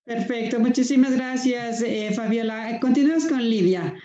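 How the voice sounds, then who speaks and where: A woman moderating a webinar panel, briskly handing the word to panelists. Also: a little high, a crowd in the background. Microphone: video call. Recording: echoey room, dull lo-fi call audio.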